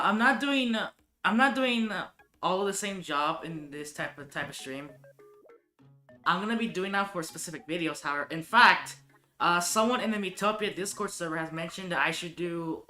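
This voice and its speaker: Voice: medium pitch, normal speed, bright, forceful tone, reverberant, soft background music. A live streamer chatting casually while gaming, thinking aloud and restarting sentences often.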